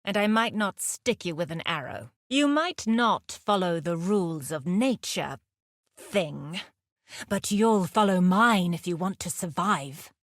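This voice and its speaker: Fierce survivalist huntress, game character, lowish pitch, measured commanding delivery, dry sound. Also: female voice, expressive, dry recording. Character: fierce, aloof huntress with a predatory, survivalist temper. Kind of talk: scripted game combat barks and dialogue.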